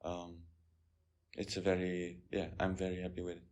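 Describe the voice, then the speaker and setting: Low, flat-voiced young Dutch man. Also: moderate pace. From Netherlands. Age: 20s. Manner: plain, short sentences, hesitant fillers. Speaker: exchange student. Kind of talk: casual interview answers.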